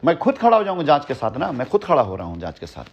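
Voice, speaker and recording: A man's voice: a little high, wildly swooping pitch, rapid-fire. A middle-aged man heading a company, talking assertively and boastfully on a phone call, repeating his points. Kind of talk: heated phone conversation.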